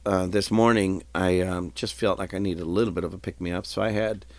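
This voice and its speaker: Stuffy-nosed guy rambling on his podcast, lowish voice, keeps clearing his throat, dry close sound. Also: steady tone, fairly quick, a little mellow, dry recording. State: congested, nasal. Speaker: podcast host. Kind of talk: casual solo podcast talk.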